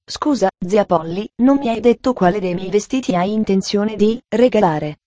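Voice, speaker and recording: A woman's voice: mid-range voice, animated, moderate pace, dark tone, natural voice. A woman doing an eager chattering little girl. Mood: pleading. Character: cheerful talkative young girl.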